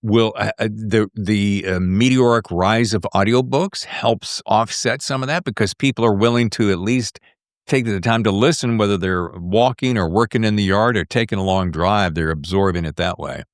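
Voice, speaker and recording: A man hosting a podcast, interviewing casually in an effusive, playful, expressive manner. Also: lowish voice, normal speed, no room sound, studio quality. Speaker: podcast host interviewing an author.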